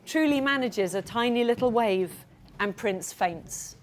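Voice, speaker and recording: Female author reading to kids: playful, expressive, high voice with big swoops. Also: a little slow, slight background noise. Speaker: children's author reading aloud. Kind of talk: animated storytelling to a live audience of children.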